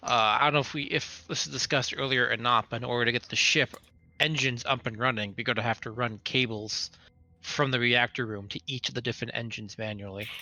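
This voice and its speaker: Bright-voiced man at medium pitch. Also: steady tone, a little fast, no room sound, rough recording. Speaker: player in a tabletop roleplaying game. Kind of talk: casual in-character table talk.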